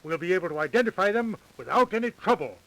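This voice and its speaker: An actor playing a shrewd, self-assured police detective in a 1930s radio crime drama. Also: male voice, sits high, expressive, quick pace, fairly bright tone, low-quality recording. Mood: triumphant. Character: confident, shrewd, persistent male police detective. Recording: vintage 1930s radio recording.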